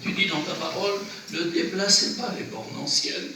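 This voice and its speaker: Preacher with a cold in an echoey church. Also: male voice, high voice. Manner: earnest, exhorting, pausing between short phrases. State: has a cold, runny nose. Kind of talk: sermon. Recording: very echoey church, from far off, noisy.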